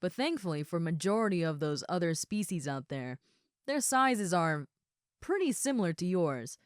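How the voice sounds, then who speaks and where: A woman playing a big, friendly ogre roommate in an audio roleplay, chatting casually and gently to the listener. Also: on the low side, big pitch swings, normal speed, no room sound, studio quality. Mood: easygoing, chatty. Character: huge muscular red female ogre roommate, friendly and easygoing.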